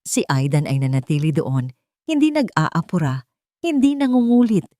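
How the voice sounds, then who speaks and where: A woman narrating a romance drama story in an expressive, dramatic way. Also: low-pitched, moderate pace, no echo, clean studio sound.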